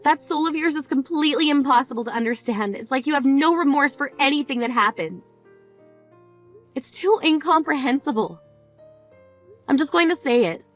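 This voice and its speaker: A high-voiced, blunt sister in mourning, played by a woman, scolding her sibling with cutting disbelief. Her tone stays sharp and exasperated, over faint background music. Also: expressive, moderate pace. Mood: incredulous. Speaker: sister at a funeral. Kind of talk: scripted dramatic dialogue.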